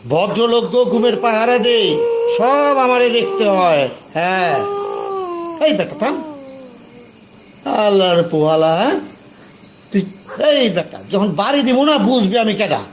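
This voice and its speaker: Man acting a high-pitched bossy Dhaka night watchman. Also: lively intonation, unhurried, long pauses, noisy background. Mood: bossy, scolding. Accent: Dhaka colloquial Bangladeshi. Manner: scolding, colloquial, self-important. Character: bossy building night watchman, blustering at underlings, deferential to superiors. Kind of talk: audio drama acting.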